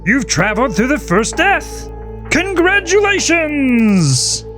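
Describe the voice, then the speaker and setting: A man's voice: extremely high pitch, sing-song, takes it slowly, over music, voice pushed up, heavily acted. A man voicing a cheerful, showy carnival guide with a theatrical announcer's manner in a horror audio story. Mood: gleeful.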